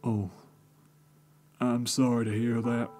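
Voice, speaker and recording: A man voicing a big, gentle older brother stallion in a storybook reading, soft and reassuring. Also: takes it slowly, soft background music, lightly acted. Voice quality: low, soft. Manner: calm, reassuring.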